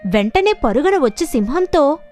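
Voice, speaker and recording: A woman tells animal fables for children in a scripted storytelling style. Her delivery is lively, with faint music playing behind her. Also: high voice, normal speed.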